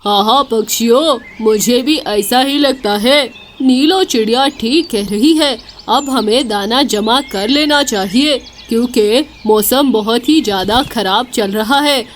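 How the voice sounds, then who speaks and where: A woman's voice: fairly high pitch, slow pace, few pauses, slight background noise, pitched below the performer's own voice. A woman voicing a hard-working, fretful male forest bird in an earnest, plain way for a children's cartoon. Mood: agreeing, concerned.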